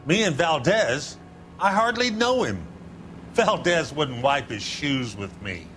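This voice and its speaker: An actor playing a slick, evasive 1940s American used-car dealer in a crime game, with smooth, defensive salesman patter. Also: on the high side, big pitch swings, takes it slowly, a bit bright, slight background noise, heavily acted. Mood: defensive, grudging. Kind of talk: dramatic game acting, period crime story.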